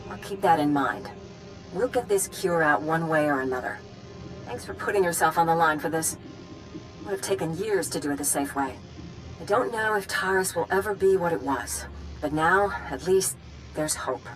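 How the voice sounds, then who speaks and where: An actress playing a weary, pragmatic colonial official in a video game, frank and serious. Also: female voice, low voice, expressive, moderate pace, over music, poor audio. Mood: resolved. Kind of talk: scripted video game dialogue.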